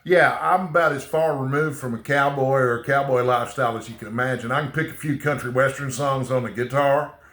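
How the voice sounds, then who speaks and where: A man's voice: mid-range voice, steady tone, a bit bright, roomy sound. A man with a slight Texan accent chatting casually to camera, rambling and often tagging his sentences with "okay?". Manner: rambling, repeats "okay?" often, halting restarts. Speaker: booktuber.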